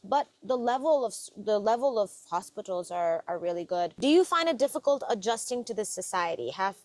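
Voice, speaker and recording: A young woman from Nepal talks through viewer questions in a relaxed vlog. She is earnest and chatty, with a voice of middling pitch, and she laughs easily. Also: brisk, no room sound. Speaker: vlogger. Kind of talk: casual Q&A chat.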